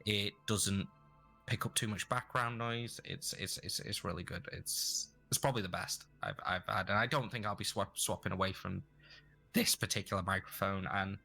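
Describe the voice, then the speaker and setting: A man with a fairly low voice and a bright, forceful edge, talking casually as an interview guest. He rambles, restarting sentences as he goes. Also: steady tone, moderate pace, gravelly, faint music behind. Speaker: guest streamer.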